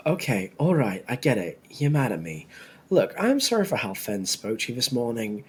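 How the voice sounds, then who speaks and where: A woman voicing a gentle, apologetic male friend in a fantasy story, talking in a casual, conciliatory way. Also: extremely low pitch, very animated, moderate pace, husky, voice pushed down, exaggerated voice. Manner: casual, soft-hearted, conciliatory.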